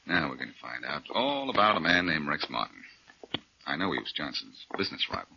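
An actor playing a cocky, quick-witted amateur detective in a 1940s radio drama, breezy and self-assured, on an old recording. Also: male voice, a bass voice, big pitch swings, creaky, muffled recording, noisy background, full character voice. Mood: assured, insistent.

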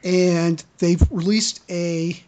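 Halting, stammering podcast host. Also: medium pitch, steady tone, a little mellow. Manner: halting, stammering, repeats words. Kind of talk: casual fan news chat.

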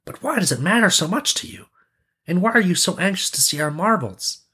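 A man acts out a confident storybook prince, pitched a little above his own voice. The prince is courteous and formal, presenting himself like a showman. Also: expressive, a little fast, sharp tone, slightly rough, full character voice. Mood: curious. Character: young prince, courteous, confident wonder-worker.

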